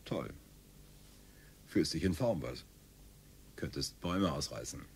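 A man's voice: extremely low pitch, expressive, takes it slowly, a little mellow, slightly rough, full character voice. An actor in his forties playing a curt, patronizing doctor in a radio play. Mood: breezy. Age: 40s. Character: brisk, dismissive male family doctor.

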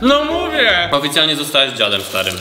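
A man's voice: a little high, wildly swooping pitch, a little fast, pushed and bright. A young man in his twenties hosting a casual challenge video, chatty and jokey, seated at a table indoors. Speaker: vlog host. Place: indoor room with a fireplace. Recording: roomy echo with background music.

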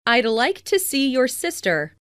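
A woman reading short English dialogue lines slowly and clearly, repeating each phrase three times for learners. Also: high-pitched, sing-song, a bit bright, no room sound, clean studio sound. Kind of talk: slow scripted phrase repetition.

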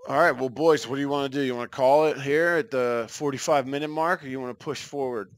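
A man's voice: mid-range voice, steady tone, fast talker, no echo, clean studio sound. A young man co-hosting a podcast, chatting casually and swearing freely on a headset video call from a room at home. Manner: laid-back, swears often. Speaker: podcast co-host.

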